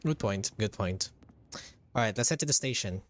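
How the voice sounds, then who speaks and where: A man's voice: lowish voice, expressive, normal speed. A man giving casual game playthrough commentary as a streamer, rambling as he thinks aloud. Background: game music.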